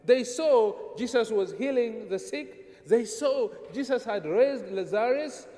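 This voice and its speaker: A man from Ethiopia preaching a sermon, emphatic and repetitive with dramatic pauses, in a church sanctuary. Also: very high-pitched, animated, mellow, long reverb tail.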